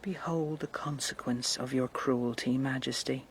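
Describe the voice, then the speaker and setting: An actor playing a blunt, insolent household cook who scorns kings, in a radio drama. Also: male voice, mid-range voice, steady tone, a little slow, slight background noise, close to an everyday voice. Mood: bitter. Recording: outdoor nature sounds behind voice.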